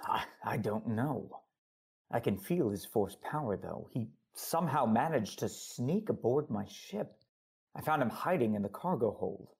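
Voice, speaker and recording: An earnest male lover in a sci-fi audio drama. His voice is gravelly and rough-edged, with a husky grain. He speaks with deliberate, devoted sincerity. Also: medium pitch, expressive, darkish tone, full character voice. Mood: urgent, concerned.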